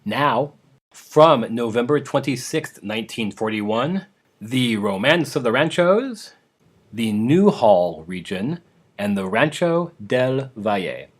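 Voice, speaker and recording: A man's voice: mid-range voice, lively intonation, slow. A man hosting an old-time radio podcast, narrating a scripted introduction in an explanatory way with casual asides.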